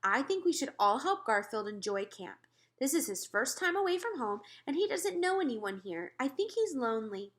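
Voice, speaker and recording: A woman's voice: high voice, sing-song, normal speed, pitched above the performer's own voice. A woman voicing a cheerful, encouraging camp leader aunt in a children's storybook. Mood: gently persuading. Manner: enthusiastic, encouraging.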